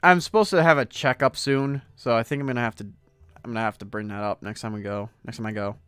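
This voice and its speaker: A hoarse man hosting a podcast stream, reading stories aloud and rambling to his chat. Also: mid-range voice, steady tone, fast, a bit bright, dry recording, soft background music. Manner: rambling, talks to chat while browsing. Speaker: podcast host.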